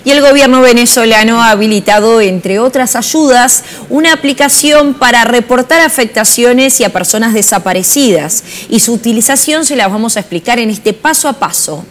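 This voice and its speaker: A woman's voice: on the high side, normal speed, hardly any pauses, a little hiss or hum. A woman presenting scripted news as an anchor.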